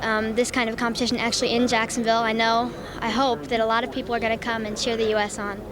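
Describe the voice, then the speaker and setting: Woman, interviewee, very quick, even intonation, casual, crowd noise behind. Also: on the high side. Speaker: interviewee on a gymnastics event.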